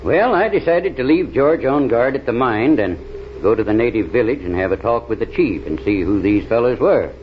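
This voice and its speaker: A man's voice: medium pitch, animated, moderate pace, natural voice. Folksy backwoods adventurer played by a man, drawl, plain-spoken yarn-spinner, dull hissy vintage radio. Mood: recounting adventures. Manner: drawling, folksy, ungrammatical speech. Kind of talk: 1930s radio serial acting. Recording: dull, noisy old radio recording.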